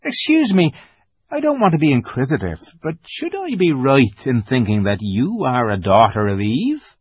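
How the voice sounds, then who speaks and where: A man's voice: mid-range voice, wildly swooping pitch, moderate pace, slightly rough, no room sound, lo-fi sound. A man voicing a polite, fussy, eager fawn creature in a storybook, with formal, flustered courtesy.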